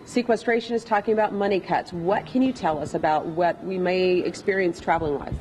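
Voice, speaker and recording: A woman's voice: fairly low pitch, fairly quick, a little mellow, rough recording. A woman working as a live TV news reporter, interviewing on location in a chatty, conversational, playful way. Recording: faint background noise.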